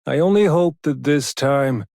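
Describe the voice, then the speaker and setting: A man's voice: on the high side, measured pace, creaky, a little mellow, no room sound, clean studio sound. A man voicing a brooding stage performer in a game, poetic and grandiose in delivery. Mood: solemn, theatrical. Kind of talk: dramatic game character acting.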